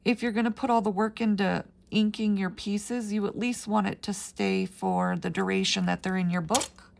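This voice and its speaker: A middle-aged woman, raspy from the flu, chatting her way through a paper crafting tutorial with plenty of ums. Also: medium pitch, fairly even intonation, moderate pace, slight background noise. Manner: chatty, rambling with frequent ums. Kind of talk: casual step-by-step craft tutorial.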